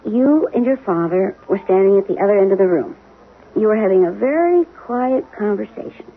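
A woman's voice: medium pitch, animated, fairly quick, husky. An actress playing a wry, plain-spoken middle-aged wife and former showgirl in a radio drama. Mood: hurt, bitter. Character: frank, wounded middle-aged wife, former chorus girl. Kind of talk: 1970s radio drama acting. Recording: lo-fi phone-like old radio broadcast, music behind.

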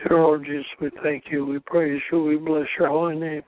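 A man's voice: fairly high pitch, little pitch movement, a little fast. A church elder, a man, leading a spoken prayer with earnest, repetitive phrasing. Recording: dry tinny phone line.

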